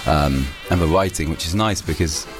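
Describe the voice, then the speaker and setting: A man's voice: a bass voice, moderate pace, over music. A young man, a musician, answering interview questions casually, rambling with many restarts and fillers. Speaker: musician guest.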